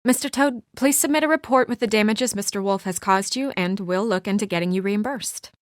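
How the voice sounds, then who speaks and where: A woman's voice: medium pitch, a little fast, no echo, studio quality, natural voice. A woman playing an earnest, businesslike young woman with office authority and a dryly exasperated edge, in naturalistic video game acting. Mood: formal, firm.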